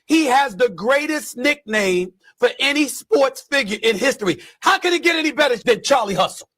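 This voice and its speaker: A man's voice: extremely high pitch, lively intonation, moderate pace, fairly bright tone, no echo. A man delivering an impassioned, indignant sports rant, hammering points with repetition and rhetorical questions. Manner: indignant, emphatic, rhetorical questions, repeats phrases.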